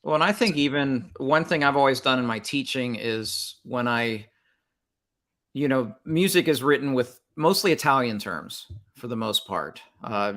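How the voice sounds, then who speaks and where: A man's voice: medium pitch, fairly bright tone. Reflective man explaining at length. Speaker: music teacher and book reviewer guest. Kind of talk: casual book chat.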